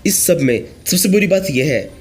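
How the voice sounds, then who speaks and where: A hoarse man reading the words of a wounded male soldier reporting a defeat. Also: fairly high pitch, expressive, moderate pace, darkish tone. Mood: despairing.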